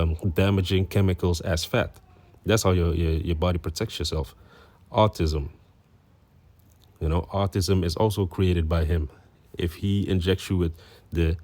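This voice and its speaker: A man's voice: sits low, darkish tone. A man with a Dutch accent, a native Dutch speaker, giving a preaching commentary as he reads aloud, earnest and peppered with "you know" and "you see.". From Netherlands. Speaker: religious commentator.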